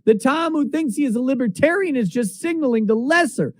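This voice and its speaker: A man's voice: extremely high pitch, lively intonation, a little fast, dry recording, studio quality. A man reading a page of a book aloud, with occasional stumbles and restarts over the written text. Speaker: reader.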